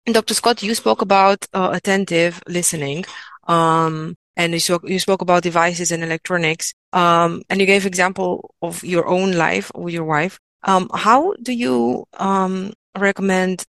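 Woman host, lowish voice, hesitant and reflective, dry close mic. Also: fairly even intonation, unhurried, a bit bright, no echo. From Romania. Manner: thoughtful, hesitant, many fillers. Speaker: host, interviewer. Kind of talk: conversational interview.

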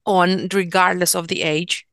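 A woman's voice: fairly low pitch, little pitch movement, unhurried, a bit bright, smooth, dry recording, studio quality. A woman from Romania hosting an interview, speaking hesitantly and searching for words with many "um"s. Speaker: interview host. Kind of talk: interview conversation.

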